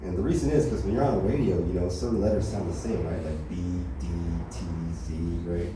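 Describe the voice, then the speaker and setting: A young man with an American accent works through a stand-up set at an open mic, his voice sitting fairly low. He rambles, padding every joke with "you know" and "right?". The sound is roomy and distant, with plenty of echo and noise around it. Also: fast talker. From Los Angeles area, USA. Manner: rambling, filler-heavy delivery with constant "you know, right?". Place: small comedy club open mic. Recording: echoey room, from far off, noisy.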